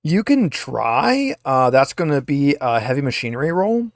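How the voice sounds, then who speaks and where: A man's voice: mid-range voice, big pitch swings, moderate pace, no room sound, clean studio sound. A man speaking as a game master, explaining rules and narrating in a casual, conversational way.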